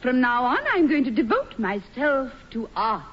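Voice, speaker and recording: An actress playing a glamorous English stage star in a 1940s radio comedy, grand, insistent and theatrical. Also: female voice, on the high side, expressive, moderate pace. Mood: grandly dramatic. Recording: dull, noisy 1940s radio recording.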